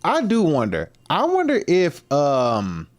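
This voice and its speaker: A man's voice: on the high side, huge pitch swings, takes it slowly. A man hosting a livestream, reacting with loose, speculative commentary full of fillers, restarts and slang. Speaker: livestream host reacting to a video. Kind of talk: casual rambling commentary.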